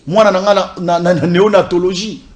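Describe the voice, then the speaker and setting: A man's voice: high-pitched, steady tone, a little fast. A man from DR Congo's Congo Central, a party communication officer, speaking emphatically in a political interview and driving points home with repeated lists. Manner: emphatic, repetitive listing. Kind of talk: political interview, code-switching.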